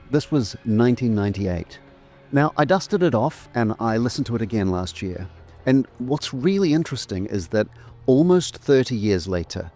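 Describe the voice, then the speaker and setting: A man's voice: fairly low pitch, darkish tone. A middle-aged or older man presenting a scripted trailer as its narrator. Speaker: presenter and remastering producer. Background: faint music.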